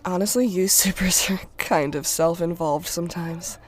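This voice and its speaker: Actress as candid, sheepish superhero fan. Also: female voice, fairly low pitch, normal speed, natural voice. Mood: wry. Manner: candid, wry. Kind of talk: casual dialogue in audio drama.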